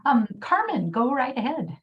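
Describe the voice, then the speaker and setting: A woman's voice: high voice, sing-song, normal speed. A woman informally facilitating an online meeting, heard through a distant, dull microphone.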